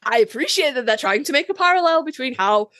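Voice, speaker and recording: A woman co-hosting a podcast, chatting casually as a fan in an animated, emphatic, joking way with plenty of swearing. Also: high voice, fast talker. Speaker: podcast co-host. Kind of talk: casual fan chat about a TV series.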